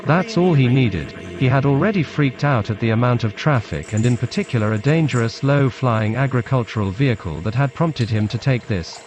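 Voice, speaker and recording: A man with a Scottish accent reads a literary story laced with Scots words in a deadpan, clipped manner. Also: mid-range voice. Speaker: narrator, storyteller. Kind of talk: literary story reading in Scots-flecked English. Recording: muffled lo-fi recording with background noise.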